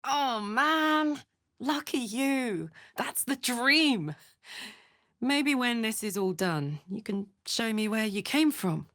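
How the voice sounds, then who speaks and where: A woman voicing a brash, warm-hearted and rowdy female adventurer in a video game, with blunt, informal and expressive delivery. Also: a little high, slow pace, no echo, studio quality. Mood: excited, rowdy.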